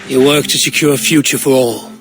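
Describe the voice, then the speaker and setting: An actor playing a steadfast, frank Viking warrior in a video game, grave and direct. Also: male voice, medium pitch, fairly even intonation, a little fast, lightly acted. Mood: frank, calm. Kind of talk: cinematic video game acting. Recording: prominent game music behind the voice.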